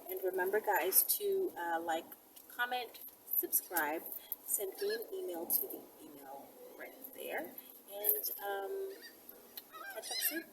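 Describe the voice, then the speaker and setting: A woman's voice: mid-range voice, big pitch swings, slow pace. A woman giving casual instructional talk to camera as a self-defense presenter, speaking conversationally and directly to her viewers. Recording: distant mic, faint background noise.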